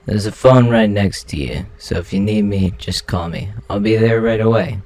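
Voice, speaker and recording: A man playing a gruff, protective right-hand man in an amateur comic dub, firm and caring in manner. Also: on the low side, normal speed, darkish tone, natural voice. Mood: apologetic, caring. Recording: music behind the voice.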